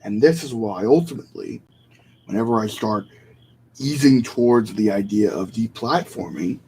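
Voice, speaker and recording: A man's voice: fairly low pitch, moderate pace, mellow, slight background noise, poor audio. A man vlogging in a casual, rambling rant, opinionated and often correcting himself mid-sentence.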